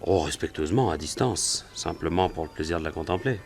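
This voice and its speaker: An actor playing an anxious, plain-spoken working man who is a witness in a French radio crime drama, talking in a halting, colloquial way. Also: sits low, lively intonation, vocal fry, soft background music. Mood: hesitant.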